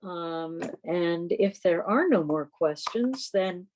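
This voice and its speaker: A woman's voice: on the low side, lively intonation, slow pace, darkish tone, a little hiss or hum. A woman chatting informally as host of an online meeting over a video call.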